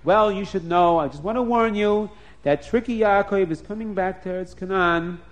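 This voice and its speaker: A man's voice: sits high, moderate pace, voice pushed up, lightly acted. A man voicing a scheming, complaining old man who whines and exclaims in a storybook tale. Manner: whiny, exclaiming with oys.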